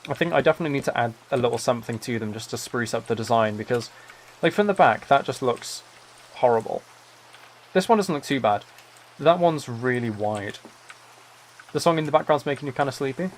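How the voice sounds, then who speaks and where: A soft-spoken man livestreaming, chatting in a relaxed way and musing aloud as he plays a game. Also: mid-range voice, a little fast, slight background noise. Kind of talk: relaxed chat while playing a building game.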